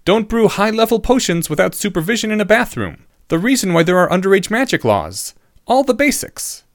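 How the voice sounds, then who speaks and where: A precocious schoolboy, acted by a male narrator in an audiobook. The voice is lifted well up in pitch, earnest and articulate, with a bold, questioning way of speaking. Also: very animated, fairly quick, no room sound, clean studio sound. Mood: grave, insistent.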